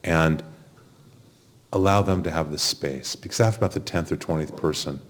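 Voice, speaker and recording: Brief: male officiant, rabbi, deep voice, gentle conversational funeral address, audible background noise. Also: normal speed. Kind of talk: funeral homily and prayer leading. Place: funeral chapel.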